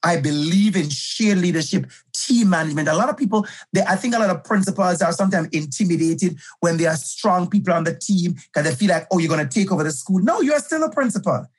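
Male guest educator, middle-aged, husky and emphatic, conversational interview delivery. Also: high voice, lively intonation, fast, few pauses. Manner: passionate, emphatic, repeats key phrases.

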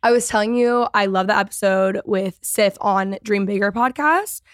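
A woman's voice: mid-range voice, normal speed, no room sound, studio quality. A woman in her twenties hosting a podcast in a casual, chatty, gushing and enthusiastic way. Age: 20s. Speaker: podcast host. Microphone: close podcast microphone.

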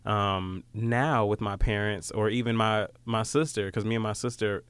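Smooth, reflective young American man. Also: fairly low pitch, fairly even intonation, normal speed, fairly bright tone, no echo. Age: late 20s. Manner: informal, reflective, acts out quoted remarks. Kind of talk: casual conversational talk.

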